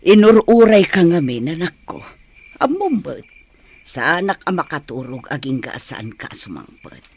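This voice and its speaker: A man's voice: fairly high pitch, sing-song, normal speed, pitched above the performer's own voice. A man voicing a caring, worried elderly mother in an audio drama, fussing tenderly. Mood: tender worry. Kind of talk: Ilocano audio drama acting. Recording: noisy track with insect sounds.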